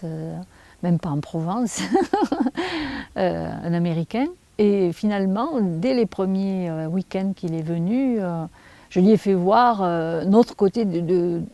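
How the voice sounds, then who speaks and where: A woman's voice: fairly low pitch, warm tone, dry recording. A woman recalling the past in a casual, conversational interview, speaking reflectively.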